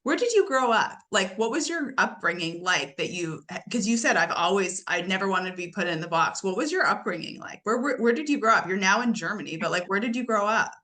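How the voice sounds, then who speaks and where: A woman hosts a podcast in a relaxed, chatty way, tossing out quick follow-up questions. Her voice is bright and crisp, at a middle pitch. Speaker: podcast host. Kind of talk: casual interview chat.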